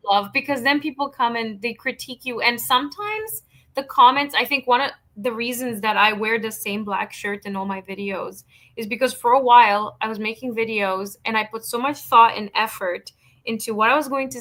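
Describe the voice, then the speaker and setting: A woman's voice: on the high side, moderate pace, pushed and bright. A woman whose first language is Romanian, a guest in a casual video-call chat, telling stories with animation and laughing easily.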